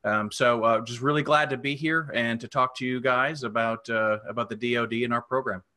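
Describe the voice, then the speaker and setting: Man in his forties, panelist, bright forceful voice, lots of uhs. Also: medium pitch, steady tone. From New York state. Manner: halting with many fillers. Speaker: program director. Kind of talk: panel self-introduction. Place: home room. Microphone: webcam on video call.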